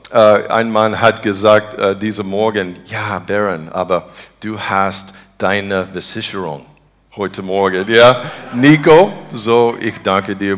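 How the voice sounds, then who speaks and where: Halting American preacher, slow, low-voiced man. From Texas, United States. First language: English. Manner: halting, searching for words in broken beginner German; earnest, devout. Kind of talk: informal sermon and spontaneous prayer.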